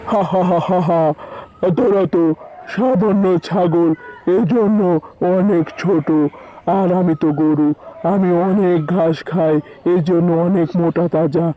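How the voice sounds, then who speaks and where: A man's voice: high voice, steady tone, measured pace, mellow, dull audio. A man voicing a big, plump cow in a children's cartoon, condescending and scolding yet protective. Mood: boastful. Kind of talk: children's animated story dialogue.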